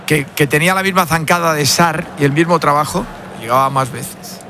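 Rambling former-player co-commentator. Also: male voice, on the high side, a little fast, bright, forceful tone, slight background noise. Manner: rambling, searching for names, fond. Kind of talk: relaxed match analysis.

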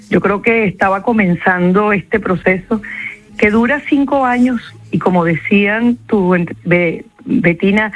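A woman's voice: mid-range voice, rough recording. A woman with a Venezuelan accent, a psychologist answering interview questions in a reflective way and repeating key words for emphasis.

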